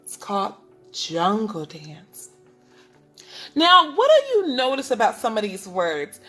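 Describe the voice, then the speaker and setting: A woman teaching preschoolers, reading a picture book aloud in an instructive way, speaking clearly to children and asking them questions. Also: a little high, huge pitch swings, slow, pushed and bright, soft background music. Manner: instructive, asks the listeners questions, enunciates for children. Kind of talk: read-aloud lesson for young children.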